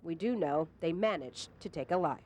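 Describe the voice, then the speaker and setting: A woman's voice: deep voice, expressive, normal speed, a little mellow. A woman narrating a scripted television news package as a reporter. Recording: faint background noise.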